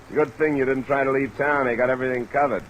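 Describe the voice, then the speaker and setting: An actor playing a cool, laconic insurance investigator who narrates an old radio detective drama, matter-of-fact in delivery. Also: male voice, mid-range voice, steady tone, rapid-fire, a bit bright, a little hiss or hum, close to an everyday voice, low-quality recording. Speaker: lead character and narrator.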